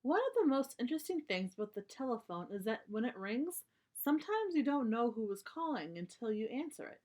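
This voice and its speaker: A woman's voice: on the high side, very animated, brisk, a little mellow. A woman reading a children's story aloud, explaining things in simple terms for young listeners.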